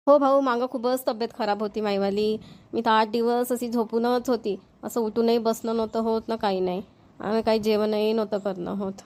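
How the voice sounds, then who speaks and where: Chatty older village mother-in-law, slightly high played by a woman. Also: steady tone, normal speed, a little mellow, lightly acted. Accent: rural Maharashtrian Marathi. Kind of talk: Marathi cartoon family comedy voice-over.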